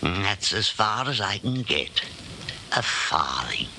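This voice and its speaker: Genial old male antique dealer, wistful rambler, very sharp bright tone, slow and lilting, vintage radio drama. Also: deep voice, sing-song, creaky, heavily acted. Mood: reminiscing, eager. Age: older. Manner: rambling, hesitant, deferential, reminiscing. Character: genial elderly widowed antique shopkeeper, wistful and chatty. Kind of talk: 1940s radio acting. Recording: old 1940s radio recording, faint noise.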